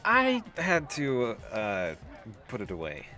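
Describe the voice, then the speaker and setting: A woman's voice: very deep voice, wildly swooping pitch, slow pace, sharp tone, soft background music, heavily acted. A woman playing an exasperated, sheepish, sceptical space-crew member in an audio drama, with a hesitant delivery.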